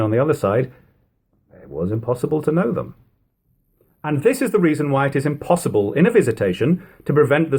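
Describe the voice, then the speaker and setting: A man reading an old-fashioned first-person literary account aloud in a measured, deliberate, emphatic way. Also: mid-range voice, darkish tone, husky. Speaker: audiobook reader.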